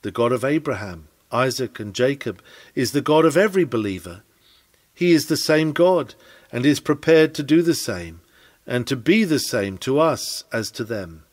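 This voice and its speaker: A man's voice: medium pitch, lively intonation, moderate pace. A man reading an old-fashioned sermon aloud in an earnest, declamatory preaching manner. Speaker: sermon reader.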